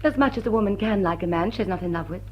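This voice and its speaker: Witty lady crook in love, upper-class English accent, soft husky tone, muffled vintage radio drama, arch and teasing. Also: mid-range voice, lively intonation, fast. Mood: poised, candid. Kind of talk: British radio play acting. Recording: dull old radio broadcast recording.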